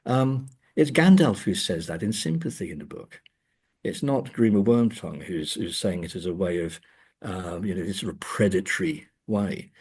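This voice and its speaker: Man, low-pitched, slightly rough voice, academic interviewee, hesitant, repeats words, reflective conversational delivery. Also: expressive, a little mellow. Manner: hesitant, halting, thoughtful phrasing with repeated words.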